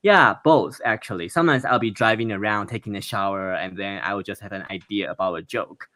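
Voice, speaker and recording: A young man from Taiwan speaks with a Taiwanese accent, his voice sitting fairly low. He talks plainly and earnestly over a video call, quick to laugh. The sound is close and dry, with no room around it. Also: bright, forceful tone, dry recording. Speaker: stand-up comedian, guest. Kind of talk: interview conversation.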